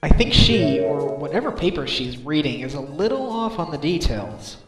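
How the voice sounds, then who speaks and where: A man's voice: on the high side, very animated, normal speed, roomy sound, faint music behind, rough recording. A man voicing an earnest, slightly hapless defence lawyer in a game fandub, wry and musing. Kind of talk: video game fandub acting with inner monologue.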